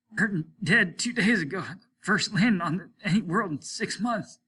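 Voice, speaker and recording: A man voicing a dying spaceman in a story reading, gasping in a strained, halting way. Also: high-pitched, bright, forceful tone, husky, voice pushed up, exaggerated voice. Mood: failing, strained. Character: dying male spaceman gasping out grim news.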